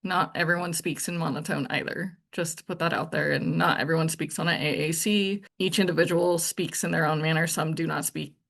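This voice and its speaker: A woman hosting her own channel, talking through a true-crime case in a monotone, blunt, plain-spoken way. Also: deep voice, a little fast, creaky, hardly any pauses. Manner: blunt and to the point. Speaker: channel host. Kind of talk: casual true-crime commentary monologue.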